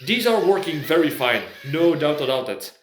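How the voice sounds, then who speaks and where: Man with higher-pitched voice, echoey room. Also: big pitch swings, moderate pace, slight background noise. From Belgium. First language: not English. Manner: hesitant, searching for words. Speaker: prepper video host. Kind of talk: casual product review talk.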